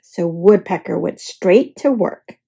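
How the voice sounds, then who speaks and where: A woman reading a children's picture book aloud in an expressive, scripted storytelling style. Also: medium pitch, slow, husky. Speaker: storybook reader.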